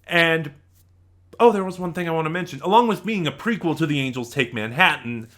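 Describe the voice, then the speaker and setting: A man giving an unscripted, opinionated review to his viewers as an online reviewer, talking emphatically with halting stops and repeated phrases. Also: a little high, fairly bright tone. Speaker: YouTube audio drama reviewer.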